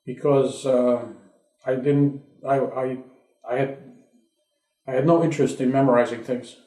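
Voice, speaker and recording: Hungarian-born man in his sixties, oral history interviewee, reflective with restarts, mid pitch, echoey room. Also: fairly even intonation, unhurried, mellow. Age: 60s. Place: his home. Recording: roomy home interview.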